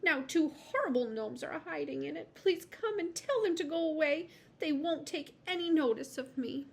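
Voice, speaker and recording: A woman voicing a small, miserable lizard who pleads in a high, whiny voice. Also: lively intonation, moderate pace, gravelly.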